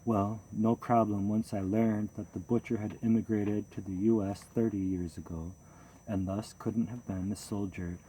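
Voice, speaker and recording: A man with a lowish voice reads a first-person memoir in a measured, steady way. His tone is mellow and dark, with a faint hiss of noise under the recording. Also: poor audio. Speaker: audiobook narrator.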